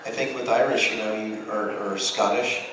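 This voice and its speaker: A man's voice: fairly low pitch, steady tone, moderate pace, sharp tone. American male panelist, casual and rambling, jokey storyteller, picked up from far off in a big echoing room. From Texas, United States. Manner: rambling, jokey, acts out quoted remarks. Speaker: voice actor and director on a convention panel. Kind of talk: casual panel talk with anecdotes. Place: large room at a fan convention. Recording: very echoey hall, distant mic, clear noise.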